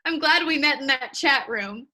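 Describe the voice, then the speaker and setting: A woman's voice: high-pitched, animated, normal speed, over loud music. A woman playing a giggly, teasing woman of almost twenty-two in an improv sketch.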